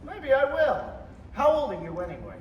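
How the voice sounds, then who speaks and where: A man's voice: very high voice, huge pitch swings, normal speed, dull audio, exaggerated voice. A young male actor playing an eager, restless small-town young man with big dreams, in a stage radio play. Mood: excited, boastful. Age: teenager. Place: high school theater stage. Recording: roomy stage recording, distant mic, faint noise.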